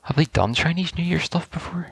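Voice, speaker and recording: A man's voice: a little high, lively intonation, brisk, vocal fry, husky, no room sound. A man giving casual first-reaction commentary on a video game, rambling and thinking aloud as he goes. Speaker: gaming video host.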